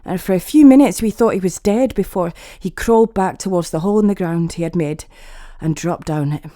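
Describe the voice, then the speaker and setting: Female story narrator for scripted fantasy horror in first person; soft-edged, mellow tone, very dry close sound. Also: fairly low pitch, brisk, no echo.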